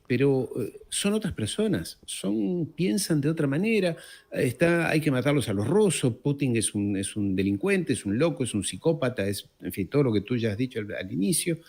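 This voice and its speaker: A man's voice: medium pitch, creaky, darkish tone. A middle-aged man from Uruguay and France giving conversational political commentary as an interview guest, stressing words emphatically and repeating them. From born in Uruguay, raised in France.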